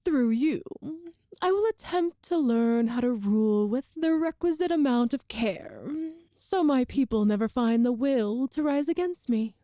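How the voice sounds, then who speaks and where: A woman playing a cruel, seductive empress in a scripted audio roleplay, speaking with teasing, formal, deliberate diction. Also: on the high side, animated, warm tone, no room sound. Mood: coolly persuasive. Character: cruel, seductive evil empress, proud and calculating. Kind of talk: scripted audio roleplay, ASMR-style monologue to the listener.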